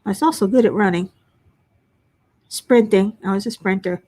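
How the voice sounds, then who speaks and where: An older woman hosting a casual livestream from home, chatting and answering viewers, her voice at times a little low and raspy from a frog in her throat. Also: normal speed, darkish tone. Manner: chatty, self-deprecating, reads chat names aloud. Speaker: livestream host. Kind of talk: casual chat reading viewer comments. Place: home workspace.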